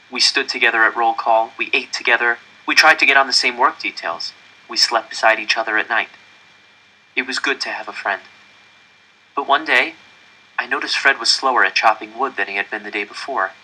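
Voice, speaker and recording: A man reads a novel aloud in first person, earnest and dramatic in his storytelling. His voice is strikingly bright and forward, at a middle pitch for a man. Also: husky, poor audio. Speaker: audiobook reader.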